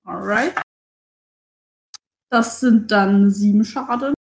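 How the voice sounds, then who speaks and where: A woman's voice: mid-range voice, takes it slowly, creaky, echoey room. A woman playing a tabletop game, chatting casually as she announces her moves.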